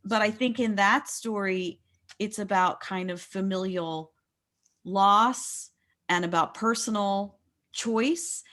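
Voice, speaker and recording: A woman's voice: mid-range voice, slow pace, a bit bright. An American woman leading a book discussion, speaking in a reflective, explanatory way and often rephrasing mid-sentence. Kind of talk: thoughtful literary discussion.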